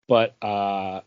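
A man's voice: fairly low pitch, no echo. Male podcast host, conversational and rambling, dry room, lo-fi muffled capture. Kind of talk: casual chat about craft beer.

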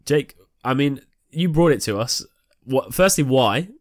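A man's voice: mid-range voice, expressive, measured pace, no room sound, clean studio sound. A man co-hosting a podcast in casual, easygoing, slightly tentative chat. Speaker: podcast co-host.

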